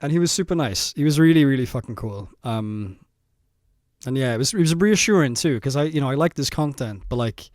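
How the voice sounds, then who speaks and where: South Welsh man, 30s, casual reaction commentary, nervous, self-mocking, quick to laugh and swear. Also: medium pitch, animated, a little mellow, no echo, studio quality. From South Wales. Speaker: vlogger reacting to his own footage.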